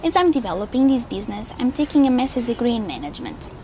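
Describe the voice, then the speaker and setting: A young woman in her early twenties gives a testimonial in a Portuguese accent, as a native Portuguese speaker. Her voice is a little high, with faint ambient noise behind it. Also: sing-song, fast, a little mellow. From Lisbon, Portugal. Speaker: network marketer giving a testimonial. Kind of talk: prepared video testimonial.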